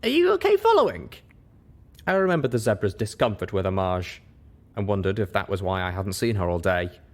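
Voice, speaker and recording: A man's voice: low-pitched, a little fast. A man reading a story aloud as its narrator, in scripted first-person narration. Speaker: reader, narrator.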